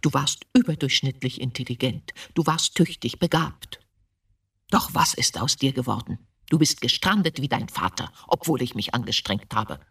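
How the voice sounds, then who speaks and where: A woman's voice: rumbling low, lively intonation, normal speed, fairly bright tone, breathy edge, distant mic, exaggerated voice. An actress playing a stern, reproachful, domineering mother of a grown son in a radio drama. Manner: severe, accusing.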